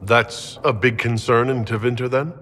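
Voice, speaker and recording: An actor playing a composed, tactful leader in a video game, speaking in a measured, dry manner. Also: male voice, medium pitch, fairly even intonation, fairly bright tone, natural voice. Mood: tactful curiosity. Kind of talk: dramatic video game acting. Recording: long reverb, ambient noise.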